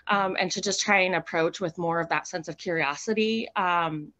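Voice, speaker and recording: A woman's voice: lowish voice, moderate pace, sharp tone. A woman participant sharing a thoughtful reflection in a workshop over a video call, hesitant and searching for words. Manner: hesitant, many fillers and restarts, thoughtful.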